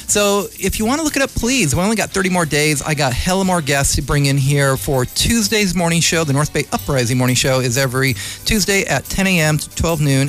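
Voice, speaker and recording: Male radio host, slightly high voice, effusive and informal interviewer, faint music underneath. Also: fairly bright tone. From California, United States. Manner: chatty, jokey, slangy, effusive. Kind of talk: casual community radio interview.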